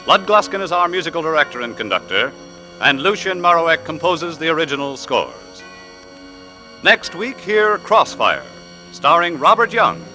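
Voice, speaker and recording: A man announcing in theatrical 1940s radio style, with dramatic, deliberate emphasis and suspenseful pauses. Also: a little high, bright, forceful tone, rough recording. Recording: vintage 1940s radio recording with music.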